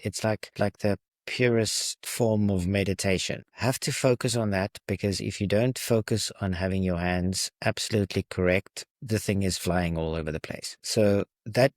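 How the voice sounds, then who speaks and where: South African man with a low voice. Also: no echo, clean studio sound. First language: Afrikaans. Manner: repeats words when starting sentences. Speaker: podcast guest. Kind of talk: casual conversation.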